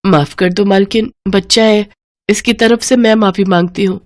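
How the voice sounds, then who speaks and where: Woman as poor maid, humble, gentle mother, meek, deferential, middle pitch, storybook character. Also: steady tone, moderate pace, natural voice. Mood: meek apologetic.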